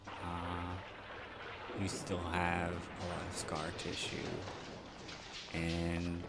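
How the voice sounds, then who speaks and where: A man from Philadelphia with a South Philly accent, giving a casual, rambling and halting film commentary full of candid asides. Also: low-pitched, monotone, very noisy. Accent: South Philadelphia American. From Philadelphia, United States. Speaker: podcast host.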